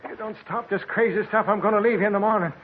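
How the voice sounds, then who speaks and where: An actor playing a worn-out man lost in the desert, gruff and doubting of his partner's visions, on an old radio drama. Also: high-pitched, steady tone, rapid-fire, close to an everyday voice. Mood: weary. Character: weary, skeptical desert partner. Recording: tinny old radio broadcast with hiss.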